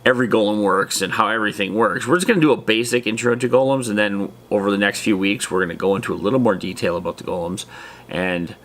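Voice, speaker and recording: A man's voice: lowish voice, fast, fairly bright tone. A man hosting a casual explainer in an informal, rambling and enthusiastic way, talking straight to viewers. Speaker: host of a tabletop gaming lore video series.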